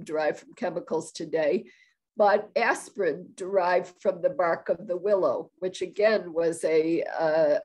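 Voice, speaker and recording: A woman's voice: lowish voice, a little mellow. Nasal-sounding older woman with a cold. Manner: chatty, with frequent ums and restarts. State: has a cold. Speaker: guest historian. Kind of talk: casual lecture over video call. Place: home room indoors. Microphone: video call webcam.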